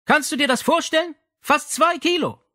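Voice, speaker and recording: A man's voice: extremely high pitch, normal speed, bright, forceful tone, no echo, clean studio sound, voice pushed up. A man voicing an exasperated, fretful Italian mother in an audiobook, indignant and plaintive. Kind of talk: audiobook character acting.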